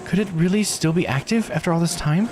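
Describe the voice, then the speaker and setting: Man putting on a curious, higher-pitched investigator. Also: quick pace, a little mellow, faint music behind, lightly acted. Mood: uneasy. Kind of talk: visual novel character read-aloud.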